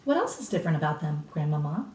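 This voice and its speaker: A woman's voice: low voice, sing-song, fast, darkish tone, pitched below the performer's own voice. A woman reading a storybook as a curious, incredulous young grandchild full of questions, in a roomy, distant recording. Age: child. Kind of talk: dramatic children's storybook reading. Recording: roomy echo, distant mic.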